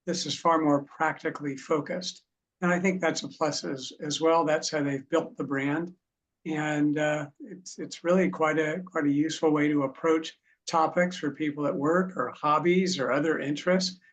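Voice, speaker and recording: A man talking as an author guest, explaining in a steady way. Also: on the high side, normal speed. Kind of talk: explaining in a talk show. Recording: faint background noise.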